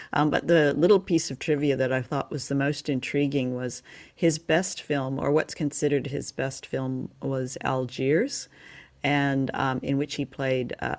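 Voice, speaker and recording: A woman co-hosting a show, talking in a chatty, reflective way about old entertainment in a casual discussion. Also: rumbling low. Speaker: podcast co-host. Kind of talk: casual film and radio discussion.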